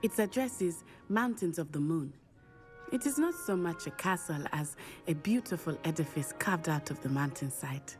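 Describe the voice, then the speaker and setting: Game role: gracious schoolgirl witch, thoughtful and formal, slightly low female register, music behind. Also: animated, darkish tone. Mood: warm nostalgia. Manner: measured, formal, thoughtful phrasing. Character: teenage witch student, poised, gracious, fond of her old school. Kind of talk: video game dialogue acting.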